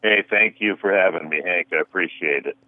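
A man's voice: deep voice, a little fast, no echo. A man in his sixties, a guest counselor on a radio show, arguing earnestly through rhetorical questions over the phone. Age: 60s. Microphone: telephone. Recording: tinny phone line.

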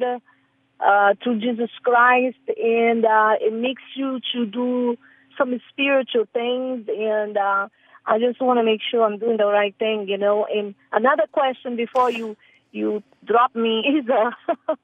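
A woman from Brazil with a Brazilian Portuguese accent, a caller asking a question in halting English, searching for her words. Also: a little high, no room sound. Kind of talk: call-in question on the phone. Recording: tinny phone line.

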